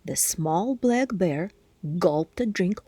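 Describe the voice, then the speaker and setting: A woman narrating a children's story aloud expressively, with deliberate phrasing and hushed, breathy word endings. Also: lowish voice, expressive, a little hiss or hum. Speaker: storybook reader.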